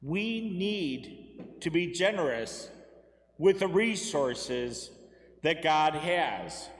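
A fairly high-voiced priest in his thirties preaches slowly and earnestly from a lectern. His words are spaced out with deliberate pauses. The sound rings around a roomy church with a long reverb tail. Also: expressive. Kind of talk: Sunday homily. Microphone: lectern mic, far camera.

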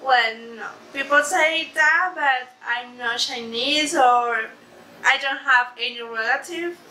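Slow, hesitant Colombian woman. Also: high voice. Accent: Colombian Spanish. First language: Spanish. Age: young adult. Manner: hesitant, halting English with soft laughs. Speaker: interviewee. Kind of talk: casual interview in a second language. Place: living room, sitting on a couch. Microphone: camera across the room. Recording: echoey room, distant mic, background noise.

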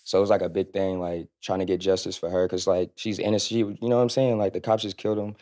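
A man around thirty from the American South Midwest, a podcast guest with an American accent, talking casually in a relaxed drawl on a studio mic. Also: low voice, quick pace. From Louisville, United States. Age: 30s. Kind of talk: casual chat. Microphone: studio mic on boom arm.

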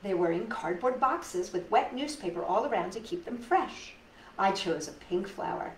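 A middle-aged woman reads a children's story aloud with a lively, swooping delivery. She pauses for effect and slips in chatty asides. The sound is roomy and a little distant, with some noise behind. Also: medium pitch. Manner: animated, dramatic pauses, addresses young listeners. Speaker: storytime host reading picture books to children. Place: indoors in a living room.

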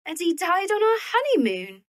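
An actress as a proud young Victorian widow with a high voice that swings expressively. She is formal and indignant, recorded close and dry for an audio drama. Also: wildly swooping pitch, normal speed, no room sound, exaggerated voice. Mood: hurt, defiant. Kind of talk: period audio drama acting.

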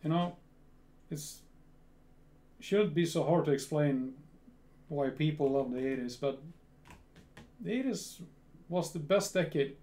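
Man, Swedish accent, slightly high voice, unhurried, repeats himself, casual home webcam talk. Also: mellow. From Sweden. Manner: slow, repeats words, restarts. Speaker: reaction video host. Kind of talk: casual reaction commentary. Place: small home room full of gear.

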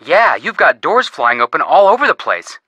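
A wisecracking teenage boy sleuth in a mystery game, eager and teasing, with a lively, swinging delivery. The voice is very bright and crisp, recorded dry and close. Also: on the high side, normal speed, dry recording, full character voice. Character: joking teenage boy detective, younger brother.

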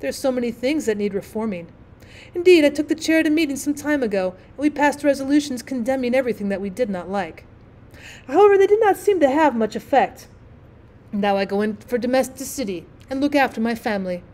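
A woman's voice: high voice, lively intonation, brisk, a little mellow. A woman voicing a peaceable, homely female duck in a storybook, mild, chatty and practical.

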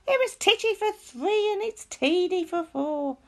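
Casting: flustered, grumbling little old storybook lady, acted by a woman, very high voice with dramatic swoops. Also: expressive, a little slow, heavily acted. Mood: frantic. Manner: exclaiming, pleading, theatrical.

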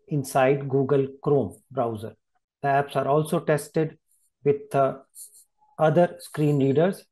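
A man presenting slides in a webinar, explaining things in a measured way. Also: medium pitch, warm tone, poor audio.

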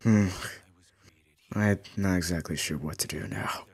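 A man improvising a comedic fan voice-over for a cartoon in a deadpan, goofy manner. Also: low voice, measured pace, creaky, breathy edge. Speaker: amateur fan dubber.

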